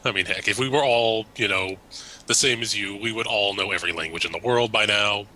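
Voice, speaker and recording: American man, low-ish voice with a very bright, sharp edge, teasing podcast co-host, faint hiss. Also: rough recording. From United States. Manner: teasing, quick with pedantic quips. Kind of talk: casual chat.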